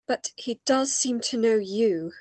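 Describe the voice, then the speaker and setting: A woman playing an earnest, worried, caring gentlewoman in a radio play. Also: fairly high pitch, slow.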